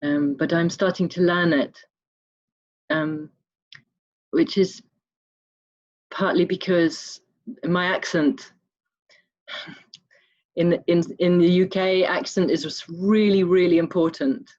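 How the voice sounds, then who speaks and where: Slow, hesitant woman with an English accent. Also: lowish voice, fairly even intonation, darkish tone. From Scotland. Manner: halting, hesitant with many ums. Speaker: online summit participant. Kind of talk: reflective sharing in a group call. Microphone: video call. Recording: muffled video-call audio.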